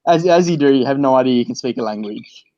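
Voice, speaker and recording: A woman's voice: very deep voice, animated, fast, mellow. A woman making dry, teasing, casual interjections on slightly dull lo-fi audio.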